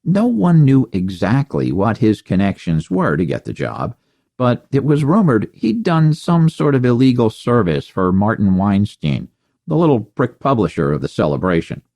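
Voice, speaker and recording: A man reads first-person fiction in a low voice with lively, varied intonation. The style is wry audiobook narration, recorded dry and close. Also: moderate pace, creaky, dry recording.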